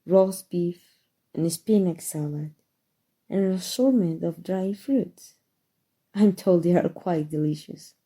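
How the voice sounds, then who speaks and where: A very mellow, dark-toned vampire lady, played by a woman with a Mexican accent. She is centuries old, elegant and gently possessive. She speaks slowly and tenderly in a breathy ASMR roleplay style. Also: on the low side. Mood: tender, gracious. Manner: soft, tender, formal and unhurried endearments.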